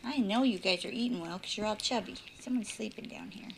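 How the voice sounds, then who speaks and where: A woman's voice: medium pitch, a little fast, vocal fry. A woman cooing in baby talk to puppies, drifting between sing-song calls and whispers, filmed indoors on a handheld phone held close, with a noisy recording. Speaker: dog breeder. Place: indoors at a puppy bed.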